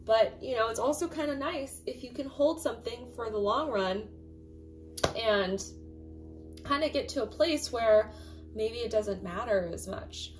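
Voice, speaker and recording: Chatty woman with a slightly raspy voice. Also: a little high, measured pace, soft background music. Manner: chatty, fillers like "um" and "you know", emphatic asides. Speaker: tarot reader and channel host. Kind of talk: casual spoken tarot reading.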